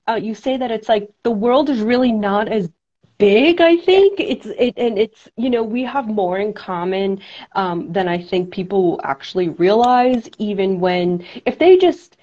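A woman's voice: mid-range voice, expressive, measured pace, mellow, lo-fi sound. A woman with a New York accent hosting a podcast, chatting casually with lots of fillers and restarts over a video call. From United States. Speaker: podcast host. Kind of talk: casual chat.

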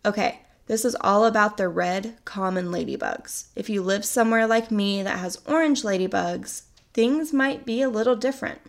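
Woman, young, podcast host, slightly sick voice, vocal fry, mid pitch, casual. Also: normal speed, hardly any pauses. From North America. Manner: chatty and upbeat, explaining facts. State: recovering from a cold, sounds a little sickly. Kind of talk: casual solo podcast narration.

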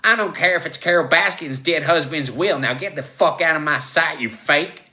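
A man's voice: medium pitch, a little slow, pushed and bright. A man playing a brash, demanding, foul-mouthed prisoner turned tiger in a comic story reading. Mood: angry, exasperated. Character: brash, impatient male prisoner turned lustful giant tiger.

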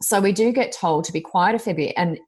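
A woman, co-hosting a casual talk podcast, speaking loudly and expressively as she reflects on her own experience. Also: mid-range voice, fairly quick, slightly rough. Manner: loud, expressive, self-reflective. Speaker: podcast co-host, coach. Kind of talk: casual chat.

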